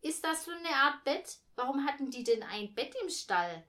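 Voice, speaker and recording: A puzzled young boy from a storybook, voiced by a woman. He sounds high and animated, insistent and demanding in his questioning. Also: normal speed. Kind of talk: storybook reading.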